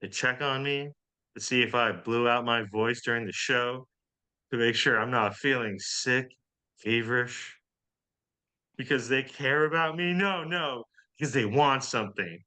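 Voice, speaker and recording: A man's voice: medium pitch, sharp tone. A man playing a vain, self-pitying drag diva with theatrical delivery in a comedy table read. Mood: wounded, dramatic. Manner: theatrical, drawn-out.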